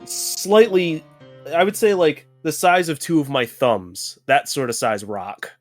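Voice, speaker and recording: A man's voice: fairly high pitch, unhurried, soft background music. A man talking casually as a player in a tabletop role-playing game.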